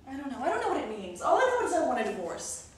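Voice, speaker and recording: An actress playing a dramatic, impulsive young newlywed wife who swings between shrill yelling and tears, in classic film acting. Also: female voice, sing-song, racing, slight background noise. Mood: tearful fury. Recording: echoey film soundtrack from a distance.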